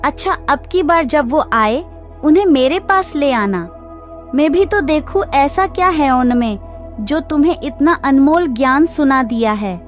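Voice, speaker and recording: A woman's voice: high voice, lively intonation, unhurried, faint music behind. A woman playing a gracious, curious queen in a devotional cartoon story. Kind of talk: devotional animated story acting.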